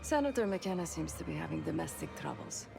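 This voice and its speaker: A woman's voice: lowish voice, big pitch swings, brisk, vocal fry, exaggerated voice. A woman voicing a cool, watchful covert operative in a video game, dry and understated in her delivery. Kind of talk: video game cutscene acting. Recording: loud music behind the voice.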